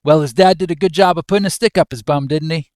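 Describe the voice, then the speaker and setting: A man's voice: a little high, fast, darkish tone, no echo, studio quality, pitched above the performer's own voice, lightly acted. A man playing a cheeky, nosy Australian office worker with an attempted Australian accent and matey, teasing slang. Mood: cheeky, pushy. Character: cheeky, nosy, square-jawed Australian male office mate.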